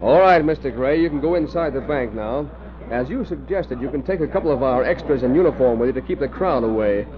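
An actor playing a busy, bossy film director in an old-time radio drama, brisk and calling out instructions. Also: male voice, mid-range voice, expressive, lightly acted. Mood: genial, instructing. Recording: muffled old radio, noisy crowd behind.

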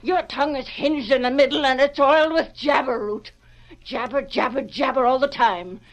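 High-voiced, feisty frontier ranch woman. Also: moderate pace, dull audio. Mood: scolding. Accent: rustic American West. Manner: scolding, blunt, folksy. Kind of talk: old-time radio western acting.

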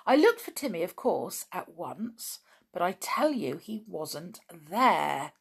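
A woman's voice: on the low side, sing-song, slow, gravelly, breathy edge, heavily acted. A woman voicing a worried, stubborn young tomboy girl in a storybook, speaking in an emphatic, pleading way. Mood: anxious, breathless.

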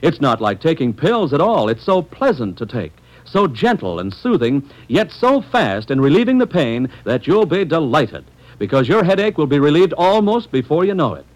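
A male announcer delivers a scripted classic radio commercial. His voice sits a little high and moves animatedly, with a polished, friendly sales manner aimed straight at the listener. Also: big pitch swings, moderate pace, poor audio. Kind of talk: old-time radio advertisement, scripted.

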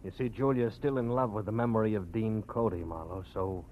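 An actor playing a cold, cynical man who gives orders to gangster muscle, smooth-talking in 1940s radio style. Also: lowish voice, fairly even intonation, brisk, lightly acted. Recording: dull lo-fi old radio recording.

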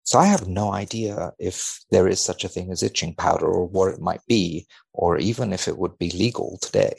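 A man speaking as a researcher guest on a podcast, commenting and reading in measured, formal wording. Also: low voice, gravelly, a little mellow. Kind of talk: conversational commentary and reading aloud from a study.

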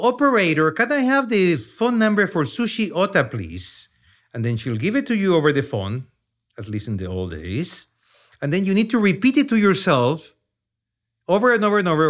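Chilean-accented man in his sixties, animated. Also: a little high. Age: 60s. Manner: animated, repeats "yeah?" and "you know", stammers on restarts. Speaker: neuroscientist guest. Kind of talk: conversational explanation.

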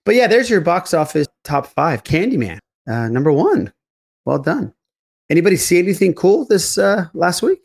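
A man's voice: sits high, big pitch swings, moderate pace, vocal fry. An enthusiastic man hosting a casual podcast chat, repeating himself for emphasis. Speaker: podcast host.

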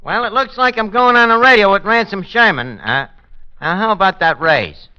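A man's voice: high-pitched, lively intonation, moderate pace, sharp tone, smooth, full character voice. An actor playing a brash New York barman who mangles his grammar, in 1940s radio comedy style. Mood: cocky. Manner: mangles grammar and big words. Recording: muffled old radio broadcast.

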